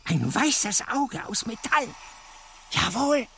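Tiny nutty paranoid man for a radio play, high squeaky pitch, super animated, cackly and excitable. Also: slow, exaggerated voice. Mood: insistent, conspiratorial. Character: tiny scrawny eccentric man, paranoid about aliens. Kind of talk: German radio play acting.

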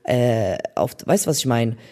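Young male football co-host, medium pitch, dark mellow timbre, colloquial slang-heavy delivery. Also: lively intonation, moderate pace. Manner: slangy, colloquial youth speech with English loanwords. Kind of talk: casual chat about football.

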